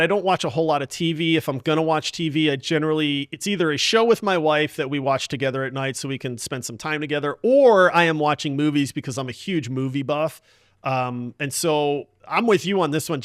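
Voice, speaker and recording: A man hosting a casual gaming podcast with excitable, hyped-up banter. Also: on the high side, no room sound, clean studio sound. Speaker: podcast host.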